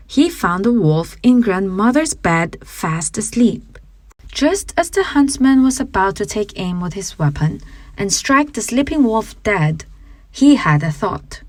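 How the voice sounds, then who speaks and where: Female audiobook narrator for children's fairy tales, unhurried, animated intonation, dry close sound. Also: mid-range voice, dry recording.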